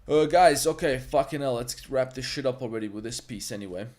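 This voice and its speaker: A man's voice: medium pitch, fairly quick, darkish tone. A man with a Balkan accent, a native Serbo-Croatian speaker, streams a 3D modeling tutorial while chatting with his viewers in a blunt, profane, rambling way. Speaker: 3D artist and streamer. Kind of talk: livestream tutorial with chat.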